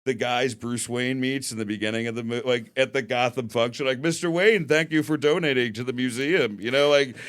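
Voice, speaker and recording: Brief: young male comedian guest, loose rambling talk, quick to laugh. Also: mid-range voice, fairly bright tone. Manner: rambling, laughs often, hedging with "like". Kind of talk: casual comedy podcast chat.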